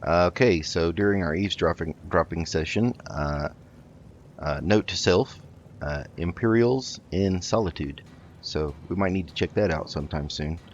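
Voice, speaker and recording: A man improvising in character while playing a video game, speaking politely and haltingly. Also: low voice. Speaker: let's play host. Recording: dull lo-fi mic with noticeable noise.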